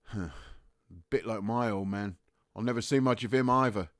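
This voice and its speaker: A man's voice: mid-range voice, a little fast, no room sound, natural voice. A man performing a bitter young Cockney private in a wartime radio play. He is blunt and mocking, with a chip on his shoulder. His voice is mid-range and sounds close and dry, with no room on it. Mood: grudging, subdued. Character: resentful working-class Cockney private, aged nineteen. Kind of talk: audio drama acting.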